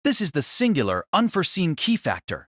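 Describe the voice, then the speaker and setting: Guy narrating a dramatic novel, formal measured read, voice a bit high and really lively, dry sound. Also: dry recording. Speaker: audiobook narrator.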